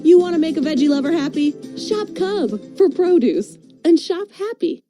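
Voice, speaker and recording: Female retail spot voice, high pitch, playful short phrases over a music bed. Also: lively intonation, normal speed. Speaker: commercial voice-over artist. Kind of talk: punchy playful retail ad read.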